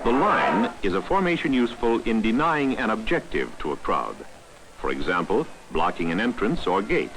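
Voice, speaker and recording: Deep-voiced 1960s training film narrator, man. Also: big pitch swings, moderate pace. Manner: formal, authoritative, instructional. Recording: noisy vintage 1960s film soundtrack.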